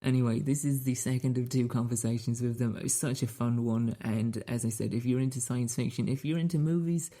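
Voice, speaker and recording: A man's voice: medium pitch, fairly even intonation, a little fast, few pauses, mellow. A man hosting a podcast in casual, chatty style, playfully repeating phrases and putting rhetorical questions to listeners. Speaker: podcast host.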